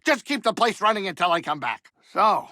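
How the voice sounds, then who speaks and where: A man voicing a grumbling but warm-hearted older alien pilot and saloon keeper in a video game, with a gravelly, blustery, wisecracking delivery. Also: high voice, big pitch swings, fairly quick, no room sound, studio quality, exaggerated voice. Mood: bossy, animated. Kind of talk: expressive video game character acting.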